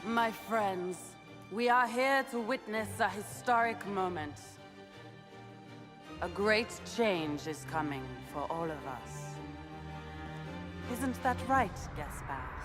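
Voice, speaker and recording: A scheming noblewoman holds forth in a fantasy video game. She is theatrical and coy, savouring her own villainy. Music plays clearly behind her. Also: mid-range voice, expressive, slow pace, pauses often. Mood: grand, sly.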